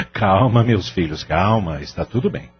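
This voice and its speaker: A man's voice: low voice, lively intonation, slow, breathy edge, full character voice. A man playing an earnest, reassuring middle-aged family father in an audio drama, speaking formally and soothingly. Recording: dull audio with faint hum.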